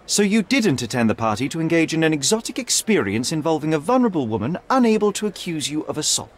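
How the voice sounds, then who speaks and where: An actor playing a stern young detective in a video game, cold and righteous, speaking in a clipped, accusatory, sardonic manner. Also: male voice, on the high side, sing-song, quick pace. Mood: sardonic, accusing. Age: young adult. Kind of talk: video game cutscene acting.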